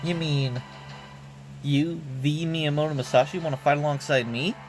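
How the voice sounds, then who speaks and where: A man's voice: a little high, steady tone, normal speed, lightly acted. A man voicing a brash young female painter and swordfighter in game dialogue, with blunt, slangy, emotional tough talk. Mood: eager, fired up. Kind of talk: game dialogue read aloud. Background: background music.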